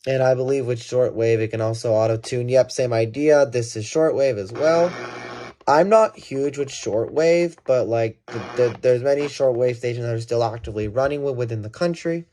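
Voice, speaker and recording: A man's voice: medium pitch, moderate pace, dark tone, a little hiss or hum. A man giving a casual hands-on gadget demonstration, thinking aloud and correcting himself as he goes. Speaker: product reviewer.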